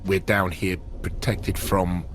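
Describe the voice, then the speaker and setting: A man's voice: deep voice, flat delivery, slow pace. A man playing a dutiful, slightly nervous vault guard in a tabletop game, polite, formal and a bit hesitant. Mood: respectful, hesitant. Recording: clear background noise.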